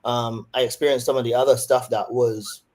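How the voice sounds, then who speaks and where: A man's voice: medium pitch, fairly even intonation, brisk, darkish tone. A man with a Barbadian accent, a surgeon answering interview questions thoughtfully, with frequent restarts.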